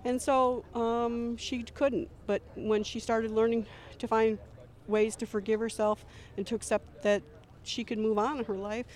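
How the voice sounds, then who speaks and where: A woman's voice: on the high side, level tone, unhurried, a little mellow. A middle-aged woman volunteer speaking in an earnest, reflective way in an interview. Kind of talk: interview testimony.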